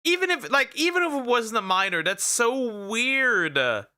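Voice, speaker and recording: A man's voice: very high-pitched, big pitch swings, normal speed, pushed and bright. A man streaming as a vtuber and reacting to clips, blunt and emphatic, swearing and repeating his objections. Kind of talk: casual reaction commentary.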